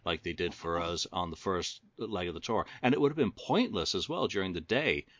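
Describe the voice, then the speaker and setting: Man, slightly low voice, talk host, detailed casual phrasing, dry muffled recording. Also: lively intonation, a little fast, a bit bright, dry recording. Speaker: host questioning a guest. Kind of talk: casual panel discussion.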